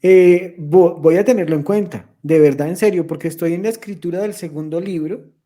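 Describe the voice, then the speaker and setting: A woman's voice: lowish voice, fairly quick, mellow. A woman who hosts an interview, responding with enthusiasm and encouraging her guest. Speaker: host. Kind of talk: interview host.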